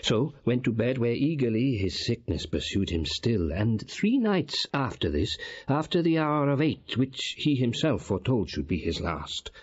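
An actor playing a gentle, respectful gentleman attendant in a Shakespearean audio drama, with a grave, measured manner. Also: very animated, highs cut off. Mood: solemn, gentle. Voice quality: low, husky.

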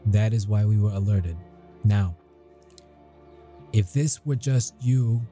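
An actor playing a grumpy but caring military intelligence interrogator in an ASMR audio roleplay, speaking in measured, halting phrases. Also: male voice, lowish voice, warm tone, faint music behind. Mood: formal, measured. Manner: measured, halting, deliberate delivery.

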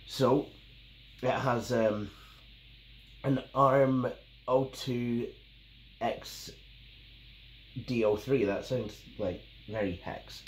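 A man's voice: medium pitch, fairly even intonation, drawn-out words, long pauses. Scottish man, nasal from a cold. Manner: rambling, with many ums and restarts. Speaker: tech video host. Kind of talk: casual unboxing and review. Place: small home office, indoors. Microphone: camera mic at the desk. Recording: roomy, faintly noisy home recording.

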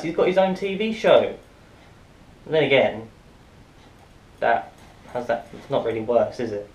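A man's voice: medium pitch, fairly bright tone. A young man vlogging casually from a small kitchen, giggly and self-mocking. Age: young adult. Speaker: comedy vlogger. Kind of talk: casual vlog. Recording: echoey kitchen.

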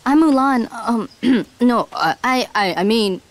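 A woman playing an earnest, uncertain young warrior in an animated game, polite and hesitant. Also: a little high, slow, no echo. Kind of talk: animated video game character acting.

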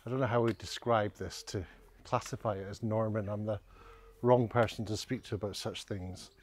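A man's voice: on the low side, steady tone, normal speed, slight background noise. A middle-aged man giving casual, self-deprecating commentary to a handheld camera as he walks outdoors. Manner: self-deprecating, wry, hesitant asides. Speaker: vlogger, walking guide. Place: outdoors on village streets and country lanes. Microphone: handheld camera at arm's length.

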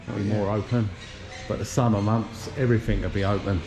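A man's voice: on the low side, a little fast. Chatty man on a walking tour. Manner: relaxed, chatty, pointing things out. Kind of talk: casual walking tour narration. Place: outdoor shopping plaza in sunshine. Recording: noisy outdoor street recording.

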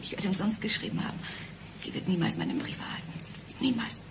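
An actress playing a frightened, despairing condemned mother in a radio play, halting and breathy, often near a whisper. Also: mid-range voice, very animated, background noise. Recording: music under the voice.

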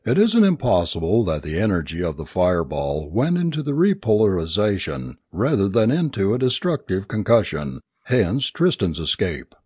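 A man's voice: low-pitched, huge pitch swings, moderate pace, hardly any pauses, a little mellow, husky, dry recording, clean studio sound, exaggerated voice. A man voicing a learned, speculative male doctor in a story, lecturing in a formal, rhetorical way. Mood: musing.

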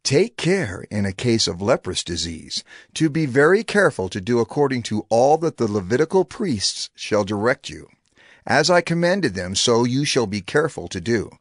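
An actor playing a solemn prophet giving law to his people in dramatized scripture, declamatory, deliberate and authoritative. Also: mid-range voice, sing-song, no room sound, clean studio sound. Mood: solemn, commanding. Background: background music.

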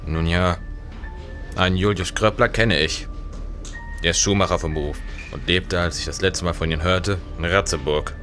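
Actor as deep-voiced, sharp, nervous big traveller. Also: male voice, steady tone, moderate pace, soft background music. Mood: uneasy, probing. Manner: agitated, questioning, formal old-fashioned speech. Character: big, nervous, shaken male traveller looking for his friend. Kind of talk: German period crime audio drama.

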